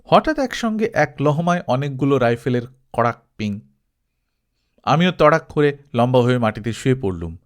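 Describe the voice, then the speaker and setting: A man narrates literary prose in the style of an audiobook storyteller. His voice sits at a medium pitch and moves through a very animated range. The delivery is expressive and dramatized, shaped for storytelling. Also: normal speed. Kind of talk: expressive literary storytelling, reading a humorous travelogue.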